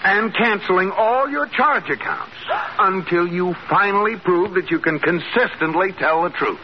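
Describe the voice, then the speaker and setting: An earnest, scolding husband in an American radio sitcom of the 1940s. His voice is high for a man and leaps about with exasperation. The sound is muffled with a faint hiss. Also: expressive, moderate pace. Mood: exasperated scolding.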